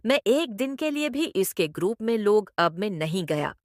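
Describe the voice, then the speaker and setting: Lady telling a story, lively up and down pitch, dry recording no room sound. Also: moderate pace, no echo. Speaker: story narrator. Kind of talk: scripted first-person story narration.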